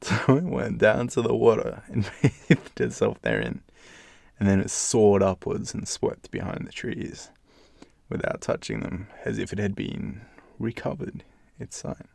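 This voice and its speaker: Male Australian bedtime storyteller, heavy vocal fry, expressive, recorded close and dry. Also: lowish voice, unhurried, no echo. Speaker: storyteller, channel host. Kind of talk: expressive bedtime fairytale narration with casual asides.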